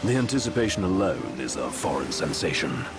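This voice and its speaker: Composed young advisor, low voice, articulate, dryly witty, video game cutscene, loud music behind. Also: male voice, big pitch swings, a little fast. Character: composed, cerebral young royal advisor, formal and wary.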